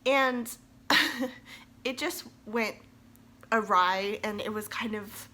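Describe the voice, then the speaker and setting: A woman's voice: mid-range voice, very slow, pushed and bright. A young woman with a congested voice from a cold, casually narrating a DIY tutorial as the host of her channel. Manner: chatty, self-deprecating, laughs between phrases.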